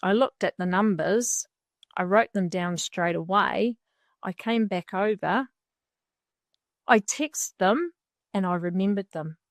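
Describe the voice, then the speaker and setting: A middle-aged woman with a New Zealand accent gives a heartfelt personal testimonial. She speaks at a medium pitch and takes her time, pausing between thoughts. The recording is dry and close. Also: no room sound. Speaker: client giving a testimonial.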